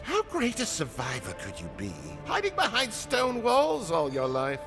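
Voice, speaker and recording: Brash, taunting, swooping adventurer. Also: female voice, medium pitch, huge pitch swings, a little slow, over music. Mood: mocking. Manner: mocking, swaggering. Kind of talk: video game dialogue.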